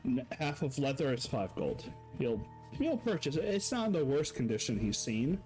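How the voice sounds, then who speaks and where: Man running a tabletop game, relaxed descriptive narration, animated pitch, soft music behind. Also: normal speed, low-quality recording. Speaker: game master.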